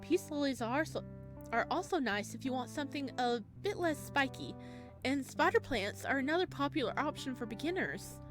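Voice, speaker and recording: A woman's voice: sits high, expressive, normal speed, over music. A woman voicing a shy, kind young male flower shop clerk from a visual novel, polite and eager to help. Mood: eager, helpful. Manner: polite, helpful, eager about plants.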